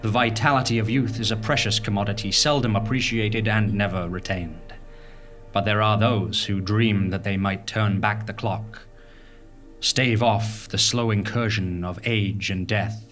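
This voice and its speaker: An ominous, grandiose narrator in a lowish voice. He delivers scripted drama solemnly and deliberately, with music clearly playing behind him. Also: fairly even intonation, a bit bright. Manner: portentous, deliberate.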